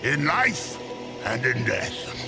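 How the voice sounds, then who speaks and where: A man's voice: medium pitch, big pitch swings, slow, over music. A man acting as a sly, world-weary otherworldly merchant in a video game cutscene, theatrical, cryptic and falsely humble. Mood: sly, amused.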